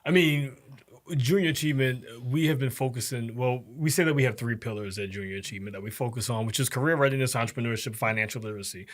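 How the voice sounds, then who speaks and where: A man in his forties talks through a program as a guest on a casual interview show. His voice sits at a middle pitch, and he builds each point slowly, with frequent um and uh. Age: 40s. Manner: explains step by step, halting with frequent um and uh.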